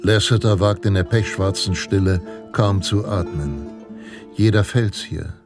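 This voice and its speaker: An actor playing a solemn, grandiose narrator of pulp Western adventures in a radio play, telling it with suspense. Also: male voice, deep voice, unhurried. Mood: mysterious, suspenseful. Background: guitar music.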